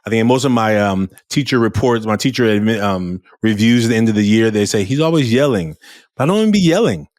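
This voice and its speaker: Host, male, low loud voice, animated chat, dry close sound. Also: quick pace, no room sound. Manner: animated, restarts often, loud voice. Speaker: podcast host. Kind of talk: casual interview chat.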